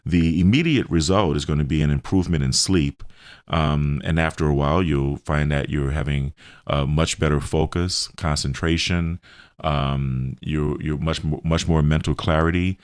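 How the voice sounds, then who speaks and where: A man with an extremely low voice and an American accent, recorded close and dry. He speaks as a radio host on health, laying out explanations simply, one step after another. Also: steady tone, normal speed, no echo. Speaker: radio show host and health center owner.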